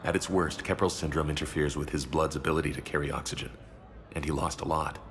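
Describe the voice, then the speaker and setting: An actor playing a frank, sober doctor who speaks in a measured, grave, matter-of-fact way. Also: male voice, extremely low pitch, fairly even intonation, a bit bright. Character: frank, sober hospital doctor delivering bad news.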